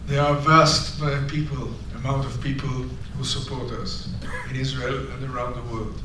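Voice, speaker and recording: A man's voice: mid-range voice, little pitch movement, moderate pace, pushed and bright. Earnest english guy, hunting for words, mic far off, noisy room. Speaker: panel guest. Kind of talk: public discussion, speaking to an audience. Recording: echoey hall, distant mic, noisy.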